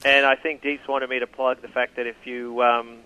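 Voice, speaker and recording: A man, a tech guest speaking in a relaxed, easygoing way. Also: medium pitch, moderate pace, smooth. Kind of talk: casual interview chat. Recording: dull lo-fi line.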